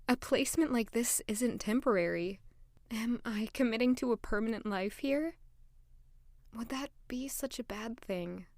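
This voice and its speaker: A woman's voice: medium pitch, dry recording, studio quality, close to an everyday voice. A woman playing an anxious, earnest young maid turned lady-in-waiting in an audio drama, introspective in manner. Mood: unsure, musing. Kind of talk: audio drama dialogue and first-person narration. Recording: music behind the voice.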